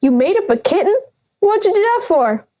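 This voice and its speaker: A woman's voice: very high voice, very animated, normal speed, muffled recording. A young woman playing a feisty, blunt granny of ninety in an audio drama, scolding and sarcastic. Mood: teasing. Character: feisty, blunt ninety-year-old granny living in the woods.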